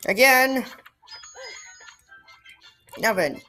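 A woman's voice: a little high, animated, drawn-out words, bright, forceful tone, faint music behind. A woman giving casual, sarcastic commentary over a game, reading its dialogue aloud. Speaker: gameplay commentator. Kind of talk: casual let's play commentary.

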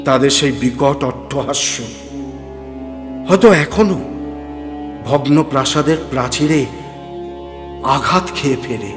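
A man narrating a scripted audio story in a measured, theatrical and literary manner. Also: medium pitch. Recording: long reverb, music bed.

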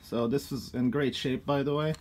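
A man's voice: medium pitch, steady tone, normal speed, a little mellow. A man in his thirties giving a casual, rambling walk-through of his collection room, filmed on a handheld phone. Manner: rambling, many ums and uhs, chuckles. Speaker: collector showing his toy pickups. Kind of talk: casual vlog chat. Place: cluttered collection room indoors. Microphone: handheld phone camera, walking around.

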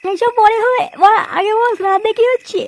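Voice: very high-pitched, fairly even intonation, fast, slight background noise. An actor voicing a crude, exasperated cartoon fellow who swears and badgers in comic dialogue. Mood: badgering.

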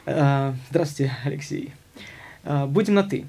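Halting male talk-show host. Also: a little high, gravelly, warm tone, slight background noise. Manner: halting, frequent filler sounds. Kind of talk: studio interview. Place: studio with logo backdrop. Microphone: studio mic on stand.

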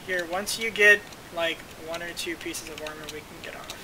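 A gamer chatting casually during play, teasing and bossy, shouting when excited. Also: mid-range voice, normal speed, noisy background. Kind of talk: casual gaming chat.

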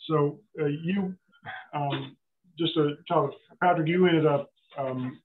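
A middle-aged male interviewer steers the conversation over a video call, rambling and hesitant with plenty of "um" and "so". His voice is slightly higher than average. The audio is tinny and narrow, like a phone line. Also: slow pace, a little hiss or hum. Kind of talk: casual interview hosting.

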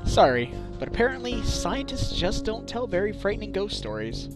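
Guy as an earnest determined game lawyer, really expressive, faint music behind. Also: high voice, moderate pace. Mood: sceptical, determined. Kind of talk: fan dub of a visual-novel video game.

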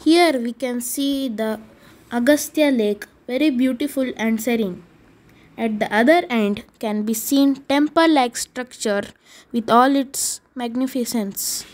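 A woman narrating a travel vlog in a measured, explanatory voice-over. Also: on the high side, darkish tone. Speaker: travel vlogger. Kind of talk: scripted narration.

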